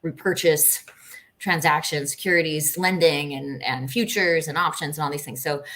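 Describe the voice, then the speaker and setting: Interview guest: middle-aged woman from Costa Rica, forward bright tone, slightly low, casual animated storyteller. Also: moderate pace, a little hiss or hum.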